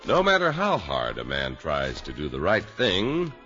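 A man's voice: extremely low pitch, wildly swooping pitch, measured pace, pushed and bright, heavily acted. An American man announcing a scripted 1950s radio comedy as its narrator. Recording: dull old radio recording. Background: music.

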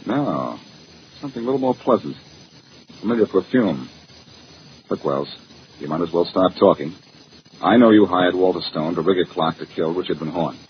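Tough American detective, low animated voice, wisecracking, confident, muffled noisy vintage radio recording. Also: male voice. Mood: threatening. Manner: brisk, punchy, hard-boiled. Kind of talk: 1940s radio detective drama acting.

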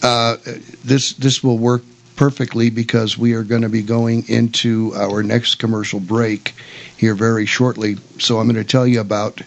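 An older man with a medium-pitched voice co-hosts a radio talk show. He talks casually and somewhat haltingly, repeating words as he goes, and at times reads aloud. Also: flat delivery, gravelly. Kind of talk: casual chat about old radio westerns, some reading aloud.

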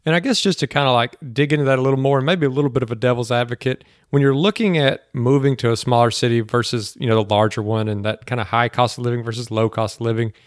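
A man's voice: medium pitch, steady tone, fast, hardly any pauses, no echo, clean studio sound. A man co-hosting a podcast, asking long, hedging questions filled with "like" and "you know". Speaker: podcast co-host. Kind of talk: casual interview questions.

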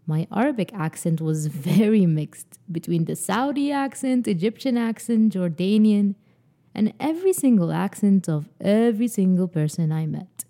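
Young woman hosting, dark mellow voice. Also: mid-range voice, lively intonation, normal speed. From Sudan. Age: young adult. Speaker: podcast host. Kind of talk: scripted podcast conversation.

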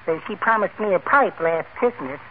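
A man's voice: high voice, lively intonation, moderate pace, narrow, tinny audio. An actor playing a meek, timid, henpecked little man with a mild, mincing manner in 1940s radio comedy style. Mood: meek, plaintive. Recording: old thin radio recording, heavy noise.

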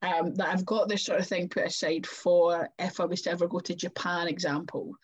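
A woman's voice: lowish voice, level tone, brisk, breathy edge. Scottish woman, wrestler guest, chatty, acts out conversations, slightly dull lo-fi sound. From Scotland. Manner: chatty, acts out quoted exchanges. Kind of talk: casual chat.

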